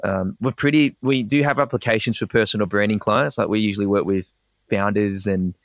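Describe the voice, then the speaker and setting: A man with an Australian accent, a podcast guest explaining ideas in quick, enthusiastic bursts with frequent restarts. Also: lowish voice, steady tone, no echo. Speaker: guest, personal branding expert. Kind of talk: casual podcast interview.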